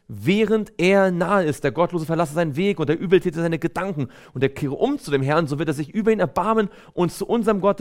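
A man preaching a sermon in an earnest, exhortative way, reading scripture aloud and stressing key phrases. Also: high voice.

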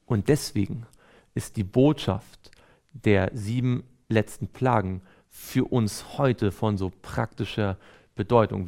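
A man's voice: lowish voice, darkish tone. A man preaching a sermon in an earnest, exhortative way, reading scripture aloud and stressing key phrases.

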